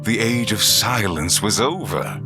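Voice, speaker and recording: A man's voice: fairly low pitch, expressive, a little slow, music in the background. A man playing a solemn chronicler, delivering portentous, dramatic narration for an audio drama. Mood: solemn, foreboding.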